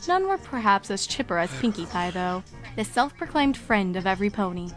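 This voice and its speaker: A woman's voice: medium pitch, sing-song, brisk, faint music behind. A woman narrator reading a scripted story in storybook style.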